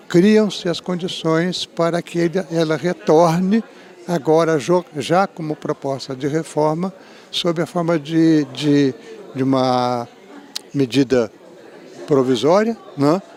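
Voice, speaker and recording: A middle-aged man with a Brazilian Portuguese accent, an education professor giving an interview, speaking in measured academic phrases with frequent restarts and "né?" tags. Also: on the high side, darkish tone. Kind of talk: interview, spoken analysis.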